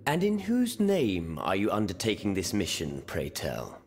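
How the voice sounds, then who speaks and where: A man's voice: deep voice, huge pitch swings, measured pace, a little mellow, full character voice. A man voicing an eloquent, proud revolutionary lawyer in a video game, with formal rhetorical delivery. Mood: wary, skeptical. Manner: formal, rhetorical, sprinkled with French phrases. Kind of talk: video game cutscene acting.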